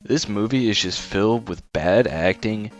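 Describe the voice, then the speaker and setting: A man's voice: on the low side, normal speed, muffled recording. A man reading scripted review narration as a video host, blunt, disparaging and informal. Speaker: video essay host and film critic. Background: faint music.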